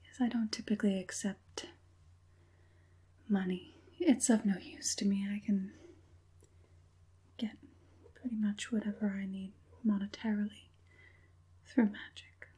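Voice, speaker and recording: A woman voicing a sly, falsely soothing evil witch puppet master in a breathy, coaxing whisper for an ASMR roleplay. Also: mid-range voice, fairly even intonation, mellow. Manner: coaxing, unhurried, sinisterly sweet.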